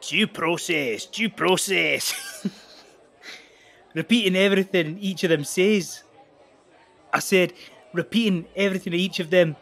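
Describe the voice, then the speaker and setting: An irreverent cynic in an audio drama, with a fairly high voice. He is sardonic and slangy, mocking officialdom. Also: slow, creaky, long pauses, fairly bright tone, full character voice. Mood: gleefully mocking. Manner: sardonic, colloquial.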